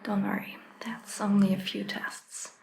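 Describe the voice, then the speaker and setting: A woman playing a calm, caring vampire doctor in a soft ASMR roleplay, whispering gently and reassuringly with long pauses. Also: medium pitch, creaky. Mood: gentle concern.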